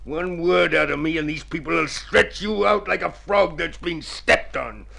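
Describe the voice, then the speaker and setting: An actor playing a sly, bullying swindler in a radio drama, with an oily, sneering manner. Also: male voice, a little high, moderate pace, vocal fry, pushed and bright, exaggerated voice. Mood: sneering threat. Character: sly, bullying male swindler who turns craven.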